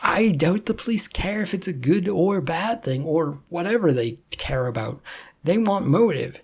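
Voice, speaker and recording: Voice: medium pitch, a little mellow, husky. A narrator voicing an anxious, exasperated man with a hesitant, sarcastic manner in a story reading. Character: exasperated, anxious everyman, reluctant prophet of a god.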